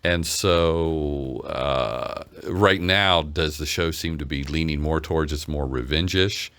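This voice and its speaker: Male interviewer, extremely low voice, conversational, feeling his way through each question. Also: slow pace, fairly bright tone, clean tone. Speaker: interviewer, host.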